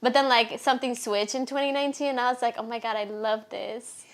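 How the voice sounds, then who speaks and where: A young woman who grew up in Colombia, hosting a podcast in a relaxed, chatty way with lots of "like". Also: on the high side, brisk. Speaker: podcast host. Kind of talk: casual podcast interview.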